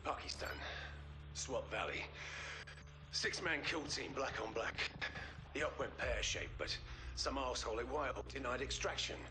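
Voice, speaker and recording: A man's voice: medium pitch, lively intonation, normal speed, long pauses, close to an everyday voice. A man playing a haunted, volatile British special forces sergeant in a video game, terse and menacing, on a noisy taped interview. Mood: bitter, haunted. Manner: terse, menacing, prone to flaring up.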